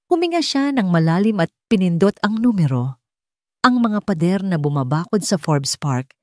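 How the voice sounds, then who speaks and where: A woman's voice: on the low side, a little mellow, no echo, studio quality. A woman reading scripted narration for a dramatic audio story as its narrator.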